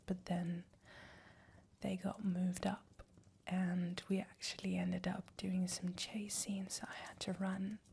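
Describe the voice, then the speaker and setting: A woman doing a put-on British accent in a soft, flirty whispered roleplay. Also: mid-range voice, gravelly, a little hiss or hum. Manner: flirty, teasing.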